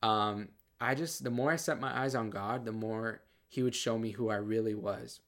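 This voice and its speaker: Earnest soft-voiced man giving testimony. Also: fairly low pitch, normal speed. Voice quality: soft, somewhat feminine-sounding voice. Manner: earnest, lots of fillers and repeated starts. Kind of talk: casual personal testimony.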